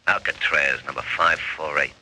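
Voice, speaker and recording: A man playing an impersonal prison roll caller, measured and official, in a 1940s radio drama. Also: extremely low pitch, steady tone, pushed and bright, husky, exaggerated voice. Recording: noisy vintage radio broadcast.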